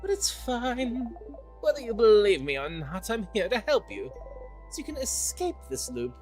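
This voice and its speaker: A streamer voicing a playful, teasing star-like creature from a video game, theatrical and cheery, with sing-song, drawn-out words. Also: mid-range voice, sing-song, a little mellow, soft background music, pitched above the performer's own voice, close to an everyday voice. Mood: reassuring, theatrical.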